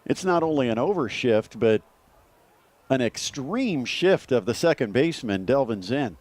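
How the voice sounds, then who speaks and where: A man's voice: mid-range voice, lively intonation, moderate pace, a little mellow. A man with an American accent giving live baseball commentary and breaking down the plays.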